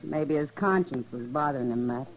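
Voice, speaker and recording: A woman's voice: extremely low pitch, normal speed, slight background noise. An actress playing a wry, world-weary, sympathetic saloon woman in a 1950s western radio drama. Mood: wry, probing. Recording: muffled vintage radio recording.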